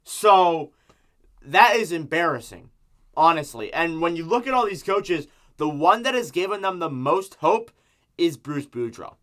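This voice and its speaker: A man's voice: a little high, expressive, unhurried, pushed and bright. A man with a sore throat from strep giving an emphatic, exasperated, opinionated sports rant as a fan making an online video. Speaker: hockey fan YouTuber.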